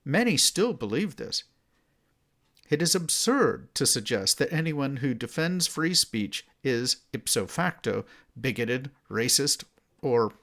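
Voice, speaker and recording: A man reading his own written essay as narration, in an emphatic, declarative manner with deliberate phrasing. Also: mid-range voice, creaky, fairly bright tone.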